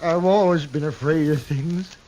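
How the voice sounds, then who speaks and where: An actor playing a meek, frightened cowboy fugitive who stammers and pleads with an American accent in an old-time radio drama. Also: male voice, fairly high pitch, unhurried, a little mellow. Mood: distraught, remorseful. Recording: dull, lightly noisy old radio recording.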